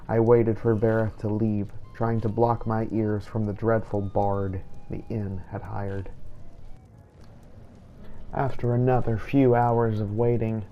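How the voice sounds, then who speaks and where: Man playing a low, gravelly, solemn inquisitor. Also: flat delivery, highs cut off, slight background noise. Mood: brooding, measured. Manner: formal, measured, archaic storybook phrasing. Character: solemn righteous male vampire-hunting inquisitor. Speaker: video game player narrating in character. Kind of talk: scripted first-person roleplay narration.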